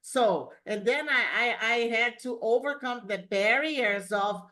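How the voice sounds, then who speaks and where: Slow-spoken woman host, English not her first language. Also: on the high side, pushed and bright. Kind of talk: interview, spiritual coaching talk.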